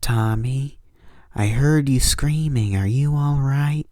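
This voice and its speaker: A man's voice: mid-range voice, slow, mellow, dry recording, clean studio sound, voice pushed up, lightly acted. A man voicing a caring mother speaking to her son in a horror story. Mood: gentle, concerned. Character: caring mother calling softly to her son, sinister undertone.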